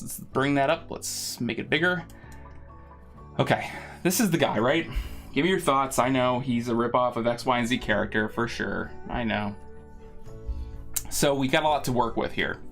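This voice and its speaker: Casual male streamer thinking aloud over music. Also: mid-range voice. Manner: informal, rambling, thinking aloud. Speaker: streamer and artist hosting a live drawing stream. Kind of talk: casual live stream chat. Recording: music playing behind the voice. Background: background music.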